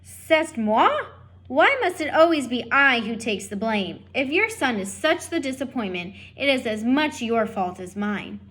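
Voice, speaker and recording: Woman putting on a vain French mother mouse, haughty, dismissive, loud, storybook voice, a bit high. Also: lively intonation, a little slow. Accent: French, put on. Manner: haughty, dismissive, broken grammar.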